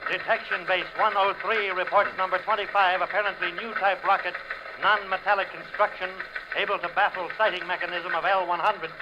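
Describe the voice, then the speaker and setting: A man's voice: sits high, level tone, brisk, lightly acted. A man acting in a 1950s radio drama, playing an impersonal military operator announcing in clipped, procedural phrases. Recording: muffled, noisy old radio recording.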